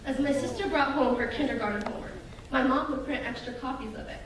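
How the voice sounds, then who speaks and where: Young woman giving a speech in an echoey hall. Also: high-pitched. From Russia. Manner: earnest, measured delivery. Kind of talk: prepared speech to an audience. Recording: echoey hall from far off, noisy.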